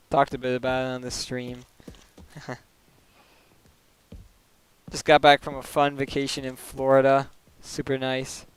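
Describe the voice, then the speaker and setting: Smooth-voiced young man chatting on stream. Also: mid-range voice, low-quality recording. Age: young adult. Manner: rambling, full of "like" fillers, quick laughs. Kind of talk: casual chat while gaming. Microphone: desk microphone.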